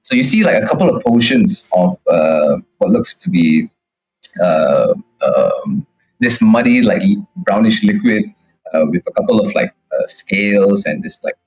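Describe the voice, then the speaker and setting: A man narrating a tabletop game as game master, improvising in a halting way. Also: fairly low pitch, expressive, a little mellow, poor audio.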